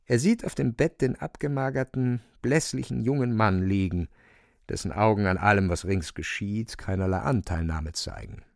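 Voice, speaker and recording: A man's voice: lowish voice, moderate pace, gravelly, no room sound, clean studio sound. A man narrating a radio play from a script, a wry storyteller with colloquial asides.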